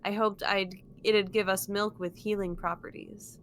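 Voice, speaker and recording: A woman co-streaming a game, chatting casually and reading game text aloud with lively emphasis. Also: medium pitch, unhurried, slight background noise. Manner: giggly, reads with lively rising emphasis.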